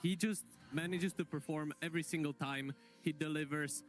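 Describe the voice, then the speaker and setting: Higher-voiced man analysing esports over music. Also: steady tone, moderate pace. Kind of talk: casual panel analysis.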